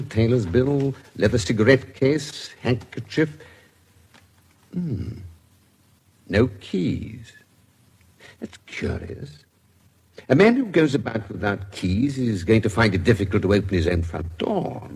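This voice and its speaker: An older British detective doctor for a radio drama. The voice is very husky and swings freely in pitch. He is coolly curious, weighing each detail aloud with precise, measured care. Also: expressive, darkish tone. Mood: brisk, methodical. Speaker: forensic investigator.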